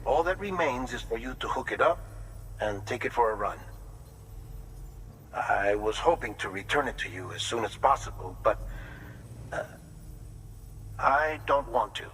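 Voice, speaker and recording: An earnest male researcher speaks in a mid-pitched, bright and tense voice. He sounds uneasy and a little halting, as in a video game cutscene, over faint noise. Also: expressive, low-quality recording. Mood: earnest, relieved, then hesitant, reluctant.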